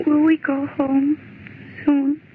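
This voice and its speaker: A woman's voice: sits high, level tone, narrow, tinny audio. An actress playing a dazed, childlike woman lost in a delusion, speaking with eerie placid dreaminess in an old-time radio horror drama. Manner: dreamy, slow, eerily placid. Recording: noisy, band-limited old radio recording.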